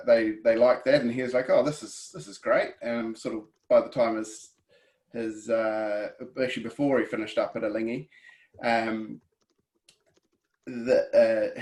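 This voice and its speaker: A man with a New Zealand accent giving a casual webinar presentation over a video call, often pausing with ums and restarting his sentences. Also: medium pitch, fairly even intonation. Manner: hesitant, many ums and restarts. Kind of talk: relaxed presentation.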